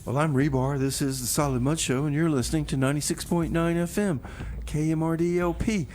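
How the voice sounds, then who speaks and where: A man's voice: fairly high pitch, fairly even intonation, brisk, darkish tone, a little hiss or hum. Laid-back community radio DJ, man. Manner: easygoing, back-announcing songs. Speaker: radio host and DJ. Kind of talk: community radio DJ chat and comedy.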